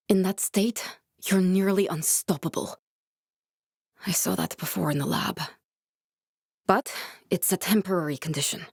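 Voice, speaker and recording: A woman's voice: fairly low pitch, creaky, a little mellow, breathy edge, no echo, studio quality. A young woman actor playing a determined, guarded scientist in a video game, speaking in an earnest, serious way. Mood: serious, urgent. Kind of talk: dramatic video game dialogue.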